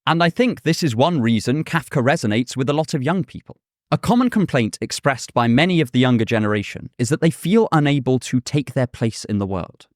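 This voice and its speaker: A man narrating a scripted video essay in a measured, thoughtful way. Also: mid-range voice, animated, no room sound, studio quality. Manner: measured, thoughtful, with a casual aside.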